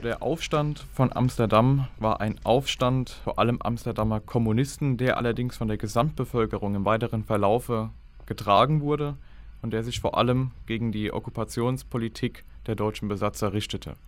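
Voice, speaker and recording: A man's voice: mid-range voice, normal speed. Documentary expert, male, mid-range voice, sober factual explanation in long formal sentences. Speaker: historical expert.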